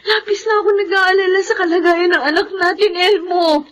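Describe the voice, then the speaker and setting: A woman's voice: very high-pitched, fairly even intonation, brisk, a little hiss or hum. An actress with a Filipino accent playing an anguished mother in a radio horror drama, tearful and pleading. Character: anguished, tearful young mother fearing for her child.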